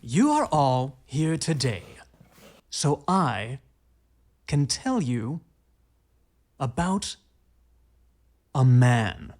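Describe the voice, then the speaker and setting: An actor playing an excitable, romantic young Parisian gentleman in an audio drama, with a grandiloquent, dramatic way of talking. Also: medium pitch, extremely slow, long pauses. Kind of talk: audio drama acting, storytelling.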